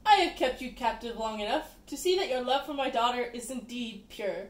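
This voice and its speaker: A commanding sock puppet lord, played by a young woman, speaks in a high, theatrical voice. The sound is roomy and distant, like a homemade stage play. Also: expressive, fairly quick, lightly acted. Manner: theatrical, declamatory. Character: commanding, forgiving sorcerer and grieving king, sock puppets. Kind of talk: amateur puppet-show acting. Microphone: phone camera at a distance.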